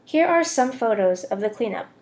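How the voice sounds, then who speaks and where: A woman's voice: fairly high pitch, expressive, moderate pace, a little mellow. A young woman with an American accent narrating a scripted class presentation as a student voice-over. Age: young adult.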